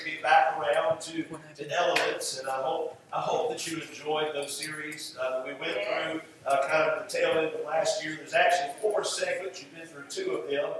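A high-pitched male preacher teaches in a conversational sermon style, repeating phrases for emphasis as he goes. The sound is very roomy and echoing, picked up at a distance from the microphone. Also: a bit bright. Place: echoey church room. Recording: roomy echo, distant mic, faint noise.

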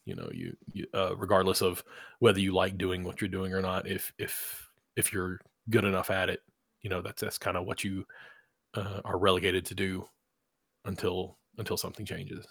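Gravelly low-voiced man, rambling casually. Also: normal speed, a bit bright, dry recording, studio quality. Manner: rambling, many fillers and restarts, agreeable. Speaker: podcast co-host. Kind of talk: casual chat.

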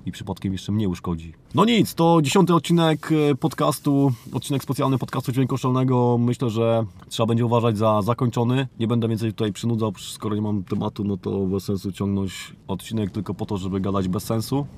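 A man's voice: fairly low pitch, a little fast, hardly any pauses, a little mellow. A man talking casually on his own as a podcast host. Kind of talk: casual solo podcast talk. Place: outdoors or in a car on a windy lot.

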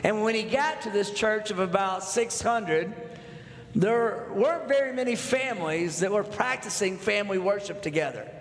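A man's voice: high voice, expressive, moderate pace, a little hiss or hum. A pastor in his thirties from North Texas with a Texan accent, preaching a sermon earnestly, building with repeated phrases and asking the congregation questions. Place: church sanctuary. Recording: echoey hall with long reverb.

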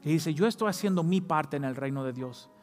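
A man's voice: a little high, lively intonation, a little fast, big-room sound, faint music behind. A man preaching a sermon as pastor, fervent, rising to emphatic peaks. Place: church.

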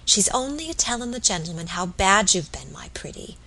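An old English country mother, played by a woman reader, coaxes softly in rustic dialect. She is doting and hushed, slipping toward a whisper in a slow storybook delivery. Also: medium pitch, very animated, vocal fry, full character voice, poor audio. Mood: soothing. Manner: hushed, coaxing. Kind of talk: audiobook character voice.

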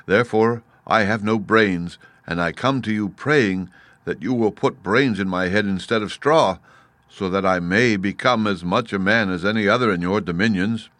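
A humble living scarecrow read by a man speaks in a fairly low, natural register. The manner is courteous, brave and earnest, as a storybook petitioner, in a dry close recording. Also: moderate pace, a bit bright, no room sound, natural voice. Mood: humble, hopeful. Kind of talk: storybook character voice.

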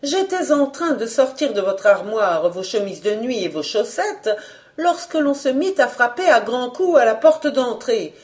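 An excitable middle-aged woman cook from a storybook, played by a woman reader. She chatters breathlessly in exclamations, pitched up high, good-hearted and easily flustered. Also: unhurried. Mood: voluble, agitated.

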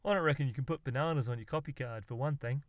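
A man voicing a slow, hesitant Australian poet who is puzzled and laid-back, in a comic story. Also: medium pitch, no echo, close to an everyday voice. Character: slow, puzzled, beer-swigging Aussie poet mate, hesitant.

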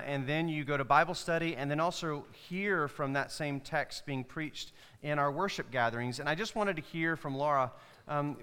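A man's voice: medium pitch, smooth. A man, an associate pastor, interviewing church members on stage during a worship service with a stage microphone. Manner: friendly, gently prompting questions. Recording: long hall reverb from large room.

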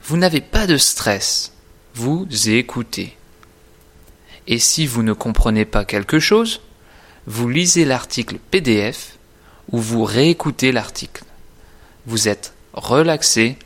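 Guy teaching, really slow and careful, medium pitch, scripted lesson. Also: expressive, a bit bright. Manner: deliberate, carefully articulated, didactic. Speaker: French teacher presenting own course. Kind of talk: scripted instructional narration.

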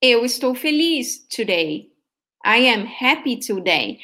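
A woman's voice: a little high, sing-song, sharp tone. A woman from Brazil with a Brazilian Portuguese accent, giving a patient sample Portuguese lesson and checking in with her students often. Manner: patient, explains slowly, checks in with "okay?". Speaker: Portuguese teacher.

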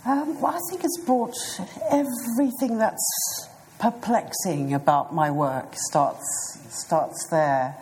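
A woman in her fifties with a British accent, an author answering interview questions in a thoughtful, hesitant way with many pauses. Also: medium pitch, steady tone, a little mellow, a little hiss or hum. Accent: British English. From South Africa. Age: 50s.

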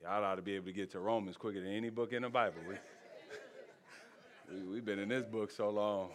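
A man's voice: on the low side, fairly even intonation, quick pace, some chatter behind. A man preaching a sermon as pastor, folksy and joking, with laughter and asides to the congregation in a church sanctuary.

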